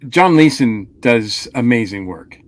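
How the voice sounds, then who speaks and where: Podcast co-host, male, mid-pitched, hesitant and rambling, dry close recording. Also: expressive, measured pace, dry recording. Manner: rambling, hesitant, many fillers. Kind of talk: casual review chat.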